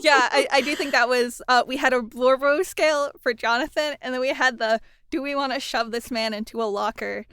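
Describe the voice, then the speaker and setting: A woman's voice: high-pitched, steady tone, brisk, hardly any pauses, a bit bright, smooth, no echo. A woman chatting casually as an interviewer and fellow producer.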